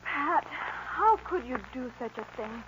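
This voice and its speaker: An actress playing an anxious, earnest young rancher's daughter, emotional and pleading, in 1940s radio western style. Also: female voice, high-pitched, expressive, takes it slowly. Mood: distressed. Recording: muffled, noisy old radio recording.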